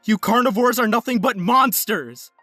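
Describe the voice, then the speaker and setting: A man's voice: very high voice, moderate pace, pushed and bright, dry recording, soft background music, heavily acted. A man acting an uneasy, agitated young male animal character in an anime fan dub. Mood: terrified, accusing. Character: uneasy young male herbivore recoiling from a carnivore friend.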